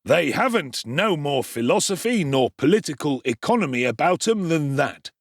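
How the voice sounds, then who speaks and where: A man voicing a pompous, quick-tempered parish beadle who speaks in a blustering, contemptuous manner in a dramatic audiobook. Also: a little high, sing-song, no room sound, clean studio sound, pitched above the performer's own voice.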